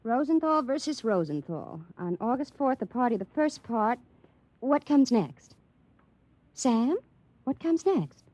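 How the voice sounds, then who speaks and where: An actress playing a sweet, not very bright young secretary in a 1950s radio comedy drama. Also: female voice, on the high side, very animated, measured pace, no echo, poor audio. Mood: puzzled.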